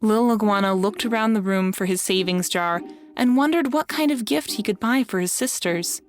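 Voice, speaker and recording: Lady reading a kids storybook, medium pitched voice, music clearly playing behind her. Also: normal speed. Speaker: storybook narrator. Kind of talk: scripted children's read-along narration.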